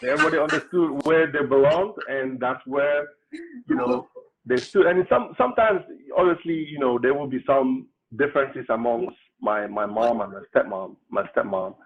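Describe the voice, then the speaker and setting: A man from Cameroon, a political candidate, telling his life story in an interview with relaxed, explanatory humor. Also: medium pitch, normal speed. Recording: tinny phone-like line.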